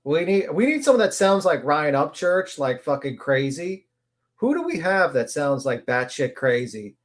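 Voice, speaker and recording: A man's voice: a little high, sing-song, moderate pace. A man giving casual, blunt and profane reaction commentary as a livestream commentator.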